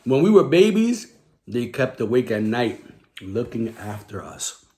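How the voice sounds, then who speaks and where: A man's voice: medium pitch, sing-song, measured pace, dark tone. A man hosting a children's story time, explaining simply in a chatty way and often checking with "right?". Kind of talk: children's storytime reading with casual chat.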